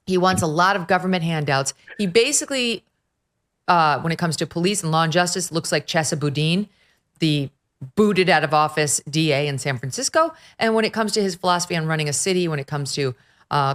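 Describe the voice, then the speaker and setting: A woman hosts a political talk show in a lowish voice. She is sarcastic and emphatic, and hits her points hard. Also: fairly bright tone. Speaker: host. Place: at the beach.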